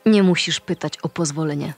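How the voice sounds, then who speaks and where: An actress playing a composed, considerate forensic investigator in an audio drama, speaking politely and plainly. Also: female voice, low voice, fairly quick, slightly rough. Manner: matter-of-fact, polite.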